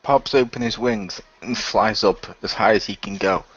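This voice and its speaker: A man's voice: fairly low pitch, normal speed, muffled recording, background noise. A man describing his character's moves as a player in an improvised role-playing audio show. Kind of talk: improvised tabletop-style narration.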